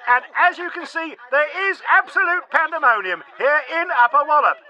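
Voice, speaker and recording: A man's voice: extremely high pitch, expressive, normal speed, pushed and bright, full character voice. An actor playing a bumbling, stammering male TV news reporter in a radio drama, heard as a dull broadcast. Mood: eager. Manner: flustered, stammering. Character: bumbling male TV reporter squeezing through crowd.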